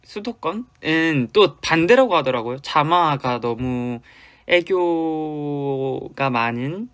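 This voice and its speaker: A young man from Busan with a light Busan accent, chatting casually with fans on a livestream and answering their comments. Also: a little high. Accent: Busan Gyeongsang Korean, light. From Busan, South Korea. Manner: chatty, loose and playful, with sudden drops to quiet murmurs. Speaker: idol hosting a fan livestream.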